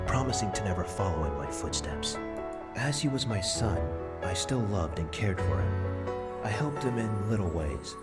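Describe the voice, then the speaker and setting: Deep-voiced gentle old grandfather spirit. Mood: regretful. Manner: earnest, tender, measured explaining. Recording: clear music behind the voice.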